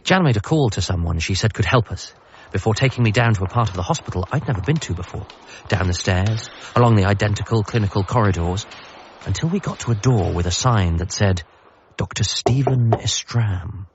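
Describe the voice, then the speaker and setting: A man's voice: on the low side, fairly quick, muffled recording, a little hiss or hum, natural voice. An actor playing a frantic, paranoid son who earnestly believes he must save the Earth, in a radio comedy drama. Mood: earnest, urgent. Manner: earnest, conspiratorial, self-deprecating. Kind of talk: radio comedy drama, first-person narration and dialogue.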